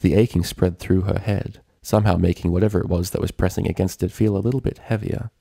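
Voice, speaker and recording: Low, gravelly male story narrator. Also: steady tone, fast talker, warm tone, dry recording, clean studio sound. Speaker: fan fiction reader.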